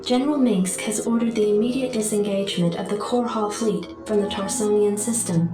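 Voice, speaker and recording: A woman's voice: medium pitch, fairly even intonation, brisk. An actress playing an impassive female military computer adjutant in a sci-fi game, giving clipped, formal briefings through echoing synthetic processing. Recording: heavily echoed, distant, over music.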